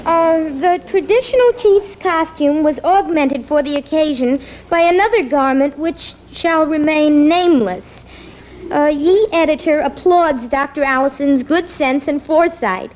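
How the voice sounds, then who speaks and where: Proper, slightly hesitant young daughter, very high voice, muffled old radio sitcom sound. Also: female voice, measured pace, a little mellow. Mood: reading aloud proudly. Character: polite, earnest young daughter devoted to her father. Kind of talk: 1950s radio sitcom acting.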